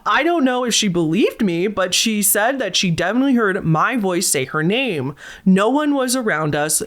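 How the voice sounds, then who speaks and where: A woman hosting a storytelling channel, reading a viewer's scary story aloud in a soothing voice with lively asides. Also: mid-range voice.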